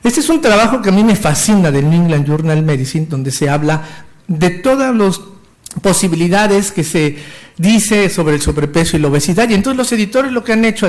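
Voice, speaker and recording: High-voiced Mexican doctor lecturing. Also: male voice, darkish tone. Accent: Mexican Spanish. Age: 50s. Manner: enthusiastic, technical, with restarts and stumbles. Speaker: physician lecturer. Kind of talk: medical conference lecture.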